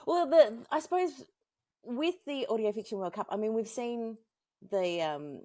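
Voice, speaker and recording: A woman's voice: on the high side, animated, a little slow, a little mellow, no room sound, clean studio sound. A woman with an Australian accent interviewing someone in a casual, informal and self-deprecating way. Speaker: interviewer and indie fiction podcaster.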